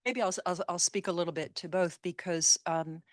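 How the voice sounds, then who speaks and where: A woman speaking as a panelist in a discussion, thoughtful and animated. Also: fairly low pitch, brisk, no room sound, studio quality.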